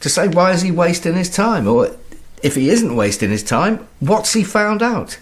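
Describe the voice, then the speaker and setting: A man acting a drunk, anxious husband in a radio thriller, slurred and gravelly, rambling through halting questions and suspicions. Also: high voice, very animated. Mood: confused, agitated. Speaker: actor in an audio drama.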